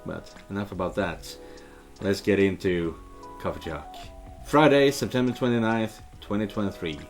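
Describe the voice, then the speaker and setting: A man's voice: fairly low pitch, normal speed, faint music behind. A man giving casual let's play commentary, hesitant and thinking aloud as he reads game text. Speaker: video game let's player and dubber.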